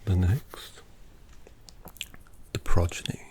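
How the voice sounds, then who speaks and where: A man voicing a refined, courteous vampire painter in a whispered ASMR roleplay, polite and quietly menacing. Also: takes it slowly, close to an everyday voice. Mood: hushed. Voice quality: soft whispery delivery with gravelly creak.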